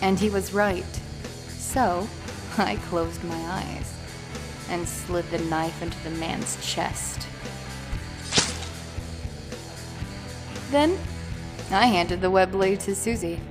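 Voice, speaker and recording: A woman's voice: on the low side, slow pace. Woman playing haughty teenage gang girl, music behind. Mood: dark, serious. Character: self-assured, haughty teenage girl gang member recounting her crimes. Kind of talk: scripted dramatic first-person narration.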